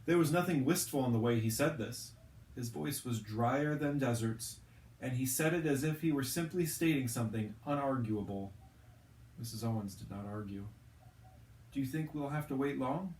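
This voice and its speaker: Guy narrating a storybook, expressive, sounds echoey and a bit far from the mic. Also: medium pitch, moderate pace, a little hiss or hum. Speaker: storyteller reading a book aloud. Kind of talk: expressive audiobook-style narration of a children's novel. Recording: roomy, echoing, distant from the mic.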